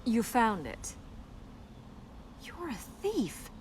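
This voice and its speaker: A woman's voice: a little high, wildly swooping pitch, drawn-out words, slight background noise, exaggerated voice. A woman playing a determined young princess in a video game, serious and guarded with a dry, sarcastic wit. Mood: challenging, wry. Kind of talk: video game voice acting, conversational banter.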